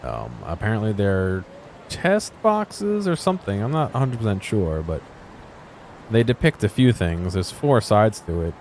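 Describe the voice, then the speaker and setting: Low-voiced man musing over a game. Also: very animated, measured pace, darkish tone, slight background noise. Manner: musing, thinking aloud. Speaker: gameplay video host. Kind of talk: casual unscripted game exploration commentary.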